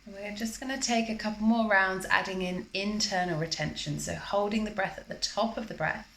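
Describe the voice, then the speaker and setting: A woman teaching yoga, guiding a breathing practice in a calm, measured, instructional manner during a live class. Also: mid-range voice, a little hiss or hum. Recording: roomy, echoing live class recording.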